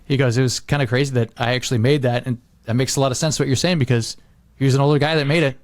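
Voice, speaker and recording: A man's voice: medium pitch, steady tone, racing, no echo. A middle-aged American man chatting casually as a podcast host, rambling and leaning on "like" as he acts out little quotes. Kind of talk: casual chat.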